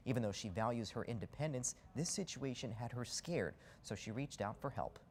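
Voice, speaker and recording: A man's voice: medium pitch, expressive, fairly quick, a little mellow, a little hiss or hum. A man reporting live from a TV newsroom in a conversational broadcast manner. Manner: conversational, clear broadcast delivery. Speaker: news reporter.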